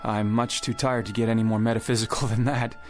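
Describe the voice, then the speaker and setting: An actor playing a skeptical, courteous and somewhat weary gentleman in a naturalistic radio play. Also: lowish voice, level tone, fast, soft background music, lightly acted. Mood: weary, polite.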